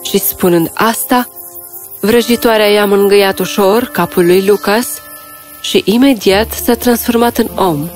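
A woman's voice: mid-range voice, faint music behind. A woman reading scripted fairy tale narration as a storyteller.

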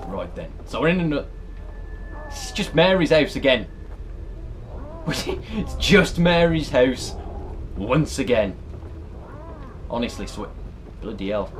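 A man's voice: mid-range voice, sing-song, measured pace, pauses often. A British man, around his thirties, giving casual, sweary, exasperated reaction commentary as he plays a horror game. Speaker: let's player. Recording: clear background noise and game ambience.

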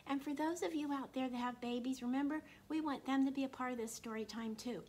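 Older lady reading to little kids, bit of a frog in her throat, highish voice, encouraging, some room noise. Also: quick pace. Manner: animated, encouraging, asks the children questions. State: slightly froggy throat. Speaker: children's storytime host at a library. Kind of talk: interactive read-aloud for young children. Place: small indoor room.

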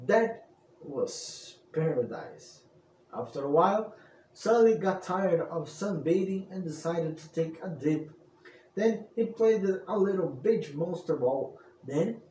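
High-pitched man telling stories slowly. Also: mellow. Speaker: storyteller, channel host. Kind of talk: scripted bedtime story narration. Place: indoor room with shelves at home. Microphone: large microphone on boom arm. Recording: roomy, slightly distant, faint noise.

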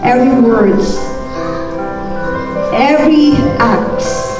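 A woman's voice: high-pitched, extremely slow, recorded from a distance. A middle-aged woman leading a fervent church prayer in a devout, exclamatory way, repeating her phrases. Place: large hard-walled community hall at a church gathering. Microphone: handheld microphone through a PA, picked up by a distant camera. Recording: very echoey hall, PA heard from afar. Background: keyboard music.

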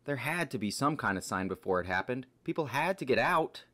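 A man's voice: medium pitch, animated, brisk, fairly bright tone, natural voice. A man playing an anxious character worried for his family in an amateur horror comic dub. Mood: anxious, pleading.

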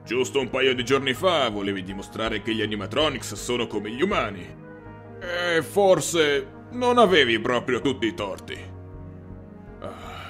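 Man playing a weary older judge, lively intonation. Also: mid-range voice, soft background music. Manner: hesitant, weary, deliberate. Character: weary, deadpan older male judge in cartoon. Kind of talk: cartoon parody dubbing.

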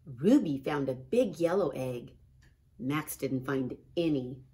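A middle-aged woman, a kindergarten teacher, reading a picture book and chatting to children in an expressive style. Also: a bass voice, takes it slowly. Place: small classroom, indoors.

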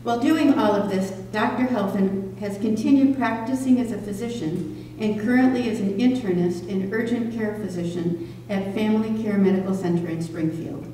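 An older woman at a podium in a community hall, reading a prepared introduction in a measured, careful way. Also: mid-range voice, dark tone. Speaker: event organizer introducing a speaker. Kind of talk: prepared introduction read from notes. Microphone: stand microphone at podium, picked up distantly. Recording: echoey hall from far off, faint noise.

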